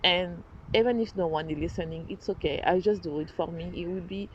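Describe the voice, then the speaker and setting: A French-accented woman talks through her own podcast with earnest energy, often backing up to correct herself. Her voice sits a little low. The recording is dull and lo-fi with a faint hiss underneath. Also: a little fast. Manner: earnest, animated, restarts and self-corrections. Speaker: podcast host. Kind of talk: casual solo podcast talk. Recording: dull lo-fi sound, faint noise.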